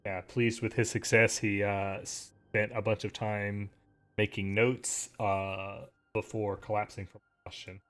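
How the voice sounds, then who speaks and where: A man running a tabletop game as game master, describing scenes in a relaxed way with many pauses. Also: fairly low pitch.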